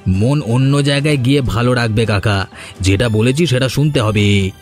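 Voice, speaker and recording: A man's voice: medium pitch, normal speed, faint music behind, close to an everyday voice. A man playing a sly, obsequious henchman of a village landlord in a cartoon, deferential and needling. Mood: bullying. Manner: deferential to his boss, needling. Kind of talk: Bengali cartoon voice acting.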